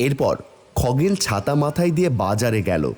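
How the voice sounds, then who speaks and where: A male storyteller narrates a cartoon tale from a script. His mid-pitched voice moves up and down in a lively way, with music behind it. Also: normal speed.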